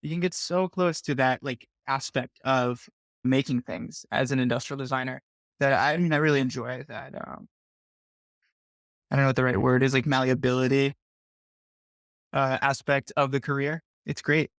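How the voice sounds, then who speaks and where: A man's voice: mid-range voice, steady tone, normal speed, fairly bright tone, no room sound, clean studio sound. A young man from Colombia, an industrial designer, chatting casually and rambling with many "like"s. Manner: rambling, heavy use of "like". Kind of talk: casual video chat.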